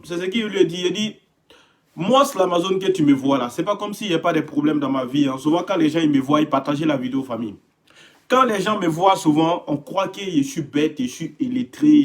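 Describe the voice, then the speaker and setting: Impassioned man streaming live. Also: a little high. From West Africa. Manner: greets viewers by name, grows emphatic and impassioned. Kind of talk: casual live stream monologue. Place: home indoors.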